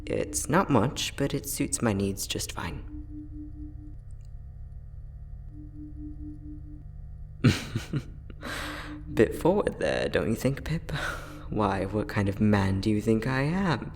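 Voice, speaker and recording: A man playing a friendly, teasing time-traveling inventor, soft-spoken and chatty in an ASMR roleplay audio drama. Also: lowish voice, sing-song, takes it slowly, heavily acted. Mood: playful, teasing. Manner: soft-spoken, chatty, playful, with frequent chuckles.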